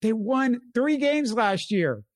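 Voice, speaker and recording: A man's voice: very high-pitched, very animated, takes it slowly, no echo, studio quality. A man hosting a sports show, talking casually and earnestly, repeating phrases for emphasis. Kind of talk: casual sports talk commentary.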